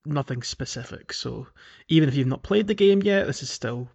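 A man's voice: mid-range voice, lively intonation, normal speed, creaky, darkish tone, husky, muffled recording. A man co-hosting a podcast in casual chat, talking in a discursive, self-qualifying way. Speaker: podcast host.

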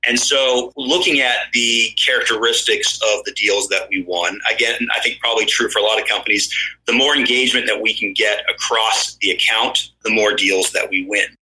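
Bright-voiced man with an American accent. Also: fairly low pitch, steady tone, normal speed, poor audio. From born in London, raised in the US. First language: Danish. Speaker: guest, marketing executive. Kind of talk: podcast interview.

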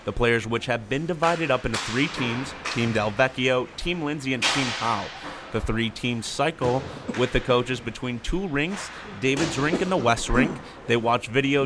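A man's voice: mid-range voice, background noise. A young man hosting a sports radio show, reading a scripted report on location. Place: on location at hockey training camp arena.

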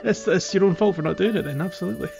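A man hosting a podcast, chatting casually about a video game and thinking out loud as he goes. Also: high-pitched, rapid-fire, creaky, soft background music. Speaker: podcast host.

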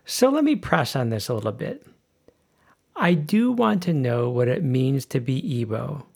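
Podcast host, man, slow and deliberate, gravelly, lively pitch, teasing interviewer. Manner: slow, emphatic, playful questioning. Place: Berlin.

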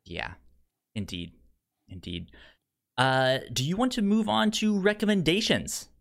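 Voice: mid-range voice, huge pitch swings, unhurried, creaky. A podcast co-host chatting casually about films, often stammering and repeating syllables and words. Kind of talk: casual conversational film chat.

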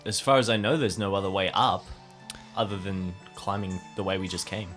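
Male role-play participant, casual improvised delivery, lowish pitch, light music bed. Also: brisk, fairly bright tone. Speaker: player in improvised audio drama.

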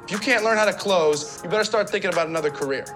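A man's voice: high-pitched, rapid-fire, lightly acted. An actor playing a blunt, hard-driving male sales boss who lectures sternly, heard as a film clip. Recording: film clip with loud music behind.

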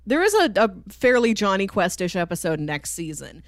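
A woman's voice: medium pitch, big pitch swings, normal speed, over a noisy crowd. A woman hosting a podcast, chatting casually about a cartoon series. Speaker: podcast host.

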